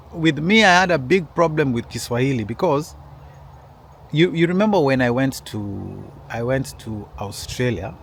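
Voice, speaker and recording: A man spins a loose, personal story at an easy mid pitch. He circles back, restarts sentences and keeps turning to his listeners. There is a faint hiss of noise behind him. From Kenya. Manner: rambling, with frequent restarts and asides to listeners. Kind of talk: casual personal storytelling to an audience.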